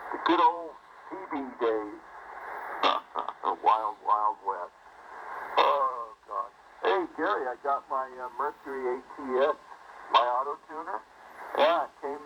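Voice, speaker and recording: A middle-aged man chatting on ham radio, telling stories in an easygoing way. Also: mid-range voice. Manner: easygoing storyteller, chuckles between remarks. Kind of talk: casual on-air radio chat. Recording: muffled shortwave radio signal with faint hiss.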